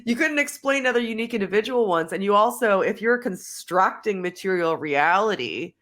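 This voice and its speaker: A woman's voice: mid-range voice, expressive, moderate pace, a bit bright. A woman with a cold, a podcast guest who thinks aloud in casual conversation, hedging often with "like". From Australia. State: has a cold, sniffly. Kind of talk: casual conversation over drinks.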